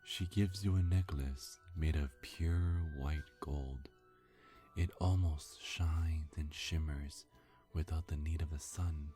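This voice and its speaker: A man with an extremely deep voice narrates a scripted ASMR story at a slow pace. His tone is dark and mellow, with faint music underneath. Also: steady tone.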